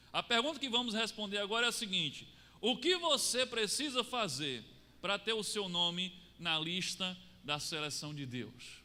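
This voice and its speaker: A Brazilian man preaching a sermon, swinging between raised lines and low, quiet drops for emphasis. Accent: Brazilian Portuguese. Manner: rises and falls, drops low to stress points. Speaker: pastor. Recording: long reverb of a church hall.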